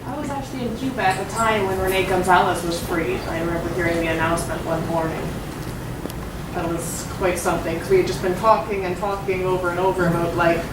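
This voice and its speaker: A woman's voice: lowish voice, steady tone, a little fast. Chatty woman in an echoey room. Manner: chatty, uses like as a filler. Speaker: audience member. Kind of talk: casual remarks to the speaker. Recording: echoey far-off room recording with noise.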